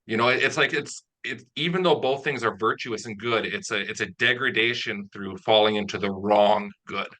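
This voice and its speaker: Very bright, forceful-voiced man interviewing. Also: on the low side, moderate pace, hardly any pauses, low-quality recording. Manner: explanatory, analytical. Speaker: interviewer, audio drama writer. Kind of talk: conversational interview.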